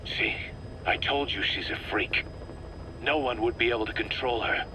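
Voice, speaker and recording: An actor playing a suspicious, hard-bitten military commander in a video game, terse, grumbling and confrontational. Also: male voice, medium pitch, normal speed, background noise, lightly acted. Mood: hostile, suspicious. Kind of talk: dramatic game voice acting.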